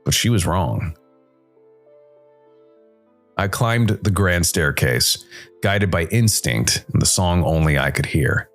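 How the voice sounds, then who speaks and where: A man narrating a scripted first-person noir story as a world-weary supernatural detective, brooding and dramatic, with deliberate, weighted pauses. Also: low-pitched, lively intonation, faint music behind. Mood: ominous, grave. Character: world-weary supernatural noir detective, male jazz musician working for Death.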